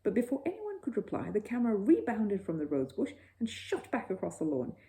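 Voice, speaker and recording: A woman reading a children's bedtime story as an expressive narrator. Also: medium pitch, brisk.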